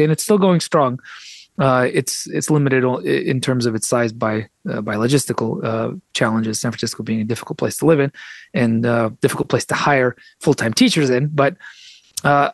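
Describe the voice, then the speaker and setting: Man, middle-aged, native Russian speaker, animated wry storyteller, mid pitch, dry sound. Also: no echo. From United States. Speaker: guest author and translator. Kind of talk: conversational interview storytelling.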